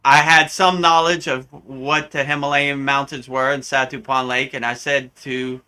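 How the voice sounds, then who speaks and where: A man from the United States, a spiritual teacher telling a story in a live Q&A, animated and often acting out the voices he quotes. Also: medium pitch, moderate pace, smooth. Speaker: spiritual teacher answering questions.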